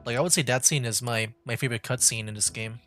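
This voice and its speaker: A man's voice: mid-range voice, steady tone, fast talker, fairly bright tone. Casual male streamer over game music. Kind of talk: casual livestream game commentary.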